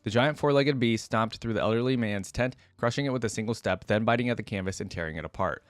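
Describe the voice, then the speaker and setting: A man's voice: lowish voice, fast talker, no room sound. A man hosting a reaction video, reading a story aloud with dramatic narration and casual asides.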